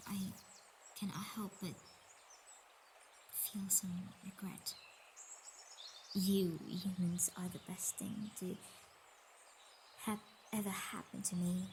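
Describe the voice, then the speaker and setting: A woman's voice: medium pitch, fairly even intonation, slow pace, long pauses, background noise. A woman voicing a sickly, motherly Earth goddess in an intimate roleplay monologue, hesitant and emotional, dipping toward whispers. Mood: hesitant regret. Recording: nature ambience with birdsong behind voice.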